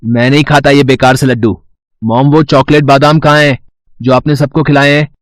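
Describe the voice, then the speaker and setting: A man's voice: medium pitch, little pitch movement, normal speed, dry recording, clean studio sound, close to an everyday voice. A man voicing a haughty, boastful rich schoolboy in a children's story. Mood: scornful. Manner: haughty, sneering.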